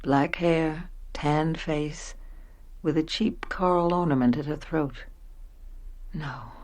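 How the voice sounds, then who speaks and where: A woman's voice: sits low, fairly even intonation, slow pace, a little mellow. An actress playing an introspective, wondering middle-aged woman who narrates her own story in a radio play, reflective and self-questioning. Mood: dazed, pensive.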